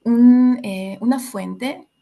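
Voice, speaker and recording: A woman from Ecuador in her thirties giving a reflective educational talk to a group, pausing often with fillers. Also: a little high, gravelly, warm tone. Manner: reflective, many filler hesitations. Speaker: workshop facilitator.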